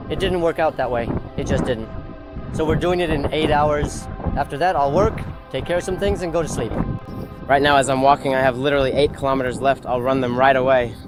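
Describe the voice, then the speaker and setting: A man filming himself outdoors on city streets with a handheld phone, giving quick, matter-of-fact updates on his running progress. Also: mid-range voice, steady tone, poor audio. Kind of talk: on-the-go vlog update. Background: music.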